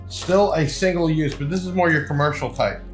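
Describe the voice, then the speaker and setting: A man's voice: fairly high pitch, a little fast. Man reviewing gear in an echoey room. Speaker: product reviewer. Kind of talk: casual unboxing review. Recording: echoey room, faint music behind.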